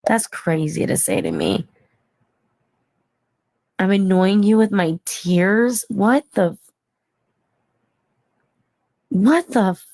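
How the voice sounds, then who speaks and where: A woman's voice: medium pitch, darkish tone. A tearful woman in an emotional livestream rant, agitated and pleading with her viewers.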